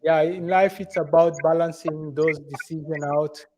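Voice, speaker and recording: A man's voice: a little high, steady tone, measured pace, darkish tone. A man hosting a casual fitness live stream as a coach, talking in a conversational way. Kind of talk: casual chat.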